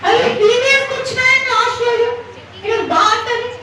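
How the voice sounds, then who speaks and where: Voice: very high-pitched, steady tone, fairly quick. A performer voicing a cheeky, chatty little girl puppet in a children's puppet show. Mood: cheerful, chatty. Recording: echoey hall, distant mic, loud noisy crowd.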